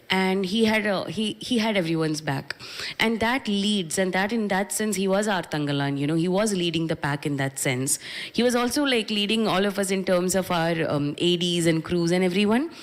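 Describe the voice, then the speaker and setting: An Indian woman in her thirties with an Indian English accent, answering questions at a press conference in a reflective way, with many restarts. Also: medium pitch. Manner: reflective, with frequent restarts and fillers. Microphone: handheld microphone.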